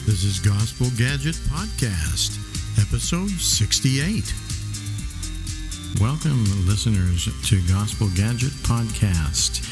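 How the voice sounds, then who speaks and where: A man with a fairly low voice hosts a podcast. He speaks in a presenter's introductory style, addressing listeners directly, with music around the recording. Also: sing-song, slow. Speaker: podcast host.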